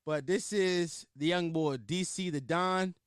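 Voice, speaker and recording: A man's voice: a little high, a little slow, clean tone, no echo, clean studio sound. A man with a Philadelphia accent hosting his own podcast in casual, rambling, profane chat full of filler words. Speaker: podcast host.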